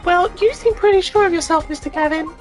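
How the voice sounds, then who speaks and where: A woman's voice: very high-pitched, quick pace, faint music behind, voice pushed up. A woman voicing a grumpy, bossy young female detective in a video game, scolding and exasperated. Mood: sardonic, bossy.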